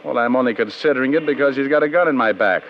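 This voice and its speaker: A man's voice: mid-range voice, fast, a little hiss or hum, natural voice. An American man playing a cocky, sardonic private detective in an old-time radio drama, narrating his case with dry, flippant wit. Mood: cornered wisecracking. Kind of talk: 1940s-50s radio detective acting and narration. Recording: muffled vintage radio broadcast with music.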